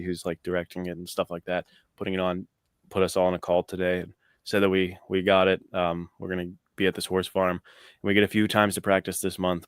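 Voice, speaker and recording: Deep, smooth, laid-back man. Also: no echo, clean studio sound. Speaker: panel guest, actor. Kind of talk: casual chat.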